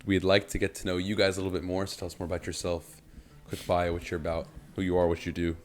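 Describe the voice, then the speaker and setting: A man's voice: low-pitched, completely flat delivery, fast. A young man hosting a faith podcast, chatting casually and loosely, with lots of "kinda" and "like". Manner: conversational, loose with fillers like "kinda" and "like". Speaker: podcast host. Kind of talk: casual chat.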